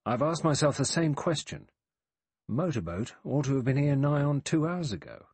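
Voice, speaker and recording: A man's voice: on the high side, expressive, moderate pace, darkish tone, breathy edge, no echo, voice pushed up. Man acting a blunt, suspicious ex-inspector. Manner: dry, emphatic, colloquial. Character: blunt, suspicious, stolid male ex-police inspector.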